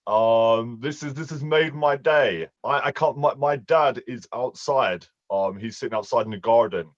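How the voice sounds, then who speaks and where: A man's voice: mid-range voice, a little slow, dry recording, dull audio. A man with a London accent, an online English teacher chatting casually with a student over a video call, laughing often and restarting his sentences. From England. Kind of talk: casual one-on-one conversation lesson.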